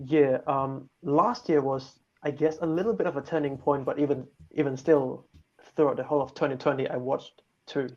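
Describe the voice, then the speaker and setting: A man's voice: mid-range voice, fairly even intonation, moderate pace, a little mellow. Hesitant man, dull mic. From Malaysia. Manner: hesitant, thinks aloud with many restarts. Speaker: guest on talk show. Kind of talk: casual chat.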